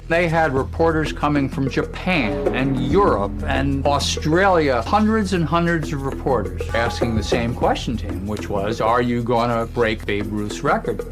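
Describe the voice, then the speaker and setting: A man with a medium-pitched voice tells a recollection in documentary interview style. Music plays clearly beneath him. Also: expressive, moderate pace, few pauses.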